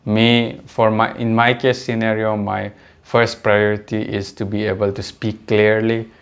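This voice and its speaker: A man's voice: lowish voice, flat delivery, measured pace, clean tone. A young man who speaks English as a third or fourth language, with an accent that mixes British, American and Indian English, talking hesitantly to the camera and often stopping to correct himself. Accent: mixed British, American and Indian-influenced English. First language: not English. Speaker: vlogger. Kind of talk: casual talk to camera.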